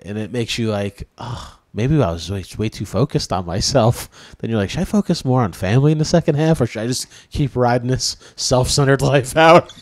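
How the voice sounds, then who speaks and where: A man's voice: mid-range voice, fairly quick, hardly any pauses, no room sound. A comedian in his forties talking in an earnest, hesitant way, full of fillers. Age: 40s. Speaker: comedian and podcast co-host. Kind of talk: casual chat.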